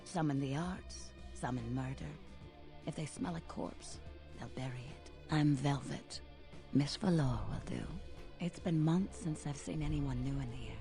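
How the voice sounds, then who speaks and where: Low, sultry vampire seductress. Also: female voice, a little slow, over music. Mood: confiding, coy. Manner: breathy, teasing, lingering delivery. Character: seductive female vampire nightclub owner, sultry and melancholic. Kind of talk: video game voice acting.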